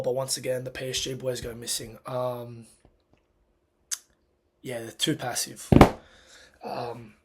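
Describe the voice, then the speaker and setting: Hoarse man ranting after a match. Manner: agitated and emphatic, pitch and loudness climbing when angry. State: hoarse, lost voice. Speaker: football fan reacting after a match. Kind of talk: casual post-match reaction rant.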